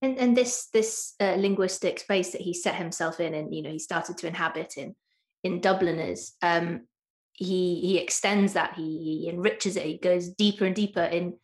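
A woman's voice: lowish voice, normal speed. A woman hosting an interview, asking long questions with hesitant, stammering repetitions. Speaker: interviewer host. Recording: echoey room.

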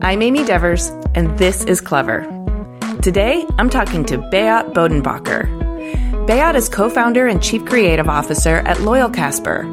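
A woman hosting a podcast, introducing her guest and asking questions in a clear, friendly way. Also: fairly low pitch. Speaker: podcast host. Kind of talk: interview hosting. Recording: music playing behind the voice.

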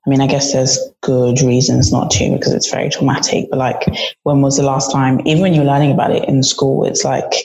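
A woman's voice: rumbling low, level tone, fairly quick, gravelly, warm tone, a little hiss or hum, low-quality recording. A woman on a panel, talking casually and earnestly as she thinks things through aloud. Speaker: panel participant. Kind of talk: reflective casual discussion.

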